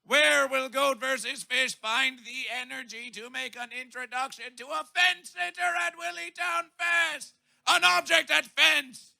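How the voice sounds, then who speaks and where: Man festival MC, bellowing and absurd, slow delivery with a harsh, gravelly edge. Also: very high voice, pushed and bright. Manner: bombastic, absurd wordplay, bellowing. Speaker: festival emcee. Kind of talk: shouted comic stage introductions.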